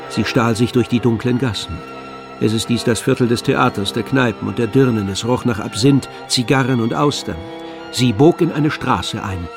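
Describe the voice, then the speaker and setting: A man narrating a literary radio drama in measured, deliberate phrases. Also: mid-range voice, creaky.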